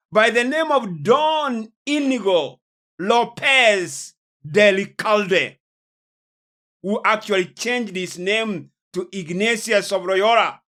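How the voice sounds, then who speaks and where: Casting: man as a religious lecturer, unhurried and emphatic, with a sharp, forceful delivery and weighty repetition. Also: sits high. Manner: deliberate, emphatic, with pauses and repeated phrases. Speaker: preacher, host of a religious programme. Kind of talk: sermon-like lecture on prophecy and world events.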